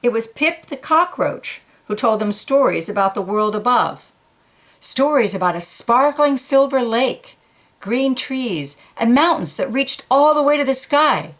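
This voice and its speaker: A woman reading a children's picture book aloud, gently pointing out details in the pictures between passages. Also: fairly high pitch.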